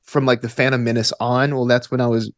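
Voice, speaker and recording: A man's voice: medium pitch, little pitch movement, fast talker, dry recording. A man in his twenties with an Alabama Southern accent talks in a medium register. He is an enthusiastic interview guest with loose, slangy, conversational phrasing. The sound is dry, with no room to it. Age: 20s. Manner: enthusiastic, informal slangy talk. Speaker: guest, actor and stage combat student. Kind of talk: casual interview chat.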